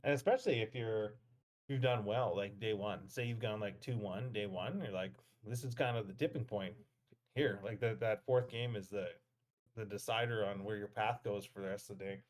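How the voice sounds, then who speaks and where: A man's voice: lowish voice, moderate pace. A man in his thirties from Canada, chatting casually as a panelist on a podcast. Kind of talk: casual chat.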